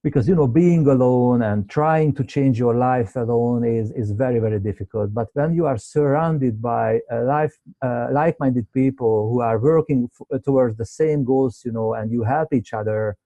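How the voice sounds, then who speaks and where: A man from Hungary with a Hungarian accent, speaking English as a second language while hosting a webinar Q&A, halting and repeating words as he searches for them. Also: mid-range voice, warm tone, no room sound, lo-fi sound. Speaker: webinar host, medical doctor. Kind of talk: unscripted webinar Q&A.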